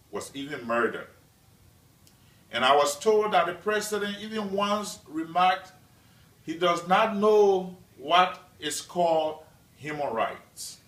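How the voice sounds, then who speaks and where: Student speaker from Liberia, man, fairly high voice, unhurried prepared speech, heard off-mic. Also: fairly bright tone. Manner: slow, measured delivery with deliberate pauses. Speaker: student presenter. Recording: echoey room, mic at a distance.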